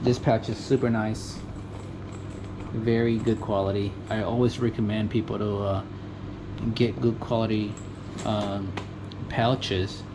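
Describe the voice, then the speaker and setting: A man's voice: fairly low pitch, flat delivery, a little slow, long pauses. Rambling man on noisy camera audio. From Mexican family, born in the US. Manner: rambling, many fillers, self-correcting, chuckles. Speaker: tool vlogger. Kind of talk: casual show-and-tell. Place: junkyard tool talk recorded in Japan. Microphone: GoPro camera mic. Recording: noisy camera audio, air conditioning hum.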